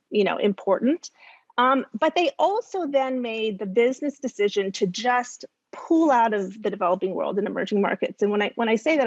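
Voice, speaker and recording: A woman speaking off the cuff as a policy expert, halting and emphatic with repeated words. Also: mid-range voice, dry recording, muffled recording. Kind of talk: spontaneous panel commentary.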